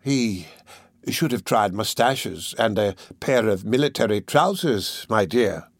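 A man voicing a crafty old criminal in an audiobook, with an oily, coaxing manner and drawn-out phrases. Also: fairly low pitch, expressive, dry recording, studio quality, heavily acted. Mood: incredulous, coaxing. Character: wheedling, crafty old male criminal schemer. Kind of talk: audiobook character voice.

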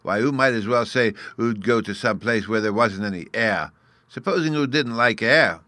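A tiny storybook fairy boy , as a male reader puts him on, lisping out childish baby talk with mangled words. He is earnest, proud and a little scornful, with a voice lifted well up and a bright, forward edge. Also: mid-range voice, moderate pace, dry recording, voice pushed up, natural voice. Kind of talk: storybook character reading.

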